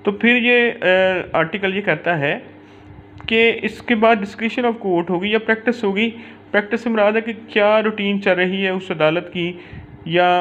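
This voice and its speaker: A man's voice: high-pitched, a bit bright. A man with a Pakistani accent giving an informal explanatory law lecture, dropping in English legal terms and frequent filler phrases. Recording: dull lo-fi audio, clear background noise.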